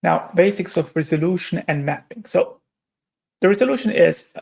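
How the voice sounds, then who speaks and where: A man's voice: sits high, lively intonation, moderate pace, gravelly, darkish tone. A man from Romania, giving a technical conference presentation and explaining step by step with frequent hesitations and self-corrections. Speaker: conference speaker.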